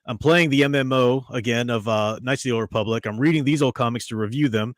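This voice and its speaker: A man's voice: medium pitch, fast, no echo, studio quality. A man hosting a casual review chat, talking eagerly and at length, often restarting his sentences. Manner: enthusiastic, talkative, restarts often. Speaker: podcast host.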